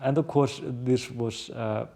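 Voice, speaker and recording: A man's voice: medium pitch, fairly even intonation, unhurried, creaky, warm tone. Man with a strong Icelandic accent. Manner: hesitant, many restarts, laughs often. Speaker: podcast co-host, journalist. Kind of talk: casual conversational storytelling.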